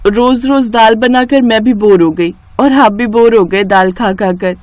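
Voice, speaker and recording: A woman's voice: on the high side, measured pace, darkish tone, no room sound, natural voice. A woman voicing a poor hardworking mother and cook in a cartoon story, humble, caring and earnest. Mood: polite, chatty.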